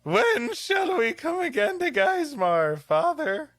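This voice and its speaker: A man's voice: extremely high pitch, wildly swooping pitch, takes it slowly, a bit bright, dry recording, faint music behind, pitched above the performer's own voice, heavily acted. A man voicing an eager, questioning young missionary follower in a storybook tale. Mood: earnest questioning. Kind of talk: storybook reading with comic voices.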